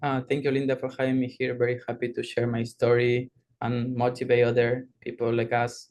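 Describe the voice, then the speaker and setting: Flat-voiced man from Peru. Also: mid-range voice, fairly quick. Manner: steady, with frequent ums and uhs. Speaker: former student guest. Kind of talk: personal story on a video call.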